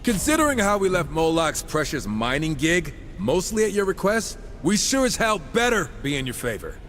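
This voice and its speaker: A man's voice: sits high, big pitch swings, normal speed, fairly bright tone, a little hiss or hum. An actor playing a cocky, irreverent horseman in a video game, talking in sarcastic, breezy quips. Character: cocky, wisecracking male horseman, irreverent, impatient gunslinger type. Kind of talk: video game cutscene acting.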